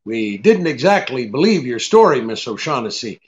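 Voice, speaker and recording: An actor playing a shrewd, cool private detective in an old-time radio drama, wry and blunt, hesitating with the odd uh. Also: male voice, mid-range voice, very animated, moderate pace, close to an everyday voice. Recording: dull, lo-fi audio.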